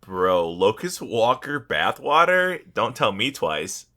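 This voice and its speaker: A man with a low voice and a forceful, bright edge chats casually as a podcast co-host. His intonation swings widely as he banters. He is jokey and profane, tossing in mock quotes. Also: very animated, takes it slowly.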